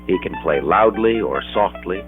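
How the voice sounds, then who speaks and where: Educational film narrator, American male, middle-aged, very deep register, measured scripted delivery, thin vintage 16mm sound. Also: expressive, phone-quality sound, soft background music. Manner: measured, explanatory.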